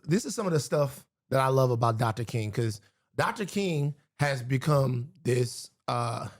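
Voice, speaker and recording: A man's voice: mid-range voice, expressive, measured pace. A man speaking as a guest in a casual political conversation, emphatic and building his points through repetition.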